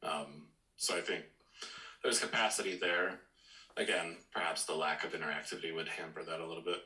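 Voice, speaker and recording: A man co-hosting a podcast, thinking aloud in a measured, hedging way during casual discussion. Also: sits low, steady tone, pushed and bright. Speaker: podcast co-host. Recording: echoey room.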